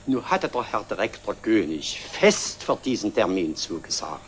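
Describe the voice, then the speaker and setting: Fussy landlord, very animated intonation. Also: male voice, lowish voice, moderate pace. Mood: politely insistent. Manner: obsequious, formal, hesitant. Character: fussy, over-polite, insistent male landlord. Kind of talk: 1960s German TV drama acting. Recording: slightly noisy old 1960s TV soundtrack.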